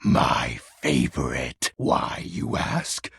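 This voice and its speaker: A man playing a melodramatic, brooding lord of darkness in a video game, with a raspy, gravelly voice and grandiose delivery. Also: very deep voice, big pitch swings, drawn-out words, a bit bright, no echo, heavily acted. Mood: smug, teasing. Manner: grandiose, archaic phrasing with sudden casual asides. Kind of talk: theatrical video game voice acting.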